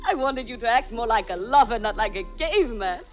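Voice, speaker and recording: A woman's voice: on the high side, lively intonation, brisk, lightly acted. A woman playing a jealous nightclub singer. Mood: flirtatious. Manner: flirtatious, then bitter and defiant. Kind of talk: 1940s radio acting. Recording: dull old radio broadcast, music behind.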